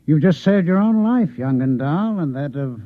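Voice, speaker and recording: A man playing a coolly menacing Martian infiltrator posing as a newspaper man in an old-time radio drama, measured, formal and insinuating. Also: on the high side, lively intonation, natural voice. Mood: cool menace. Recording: echoey, phone-like old radio drama recording.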